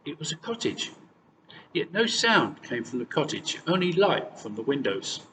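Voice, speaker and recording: A man reading a fairy tale aloud as scripted, expressive storytelling. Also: fairly high pitch, moderate pace. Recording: distant mic, long reverb, faint noise.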